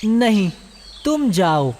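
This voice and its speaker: An actor as a lively, friendly cartoon monkey. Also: mid-range voice, extremely slow. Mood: defensive. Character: trusting, generous young male monkey. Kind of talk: children's cartoon dubbing. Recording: music behind the voice.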